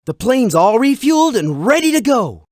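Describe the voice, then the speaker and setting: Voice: mid-range voice, big pitch swings, normal speed, a little mellow, no room sound, studio quality, lightly acted. An actor playing a laid-back, food-loving, wisecracking young guy in an educational cartoon game. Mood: upbeat. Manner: playful, wry.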